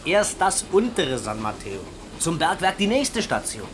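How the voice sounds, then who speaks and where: A nosy, teasing traveller in a radio play. His high voice swoops around in unhurried, colloquial lines, over clear background noise. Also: sing-song. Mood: nosy, casual. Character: nosy, teasing male local fellow traveller on a train.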